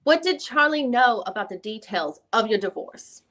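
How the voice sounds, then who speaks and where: A high-pitched female lawyer, voiced by a woman works through a deposition reading. She puts probing questions in a formal, somewhat halting way. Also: expressive, a bit bright. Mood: questioning. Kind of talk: dramatic reading of a deposition transcript.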